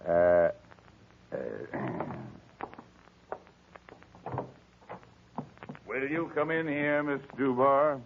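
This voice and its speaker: A man's voice: medium pitch, big pitch swings, slow. A man acting a stolid, tired police lieutenant with plain-spoken delivery in a 1940s radio drama. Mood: stern. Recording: dull old radio recording.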